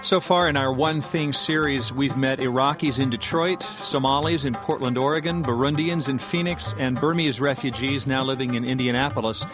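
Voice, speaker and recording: A man reading a scripted radio segment outro in a smooth, even way. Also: mid-range voice, moderate pace, few pauses, music in the background. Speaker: radio host.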